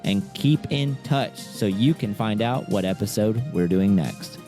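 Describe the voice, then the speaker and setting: A man's voice: on the low side, lively intonation, measured pace, warm tone, soft background music. A young American man co-hosting a podcast, chatting in an informal, conversational way. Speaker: podcast co-host. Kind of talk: casual chat.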